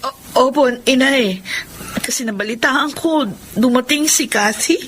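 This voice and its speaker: A woman with a Filipino accent playing a soft, earnest family woman in a radio drama. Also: high-pitched, a little slow, slight background noise. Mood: remorseful. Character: loving mother, or repentant grown daughter.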